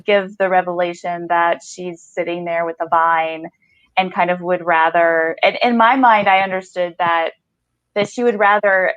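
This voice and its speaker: A woman's voice: lowish voice, level tone, moderate pace, fairly bright tone, clean tone, no room sound, highs cut off. A woman hosting a podcast, interviewing an author in a reflective, affirming, conversational way. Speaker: podcast host.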